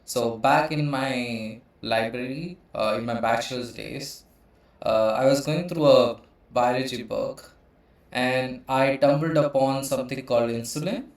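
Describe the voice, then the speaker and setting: A man from India in his thirties, hosting his own channel and walking through slides on computational biology, pausing often with uh. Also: mid-range voice. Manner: hesitant, frequent uh fillers. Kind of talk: explaining with slides. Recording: echoey room.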